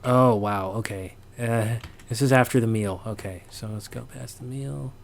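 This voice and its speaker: A man giving a casual, unscripted sound design tutorial, halting and thinking aloud as he works. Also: mid-range voice, gravelly, slight background noise. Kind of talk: casual, unscripted software walkthrough.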